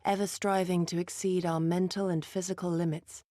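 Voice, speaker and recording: A woman playing an earnest, dutiful ninja resistance fighter in a video game, speaking in a solemn, measured and formal way. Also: fairly low pitch, little pitch movement, dark tone, no echo, clean studio sound, natural voice. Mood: solemn, wistful. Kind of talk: dramatic video game acting.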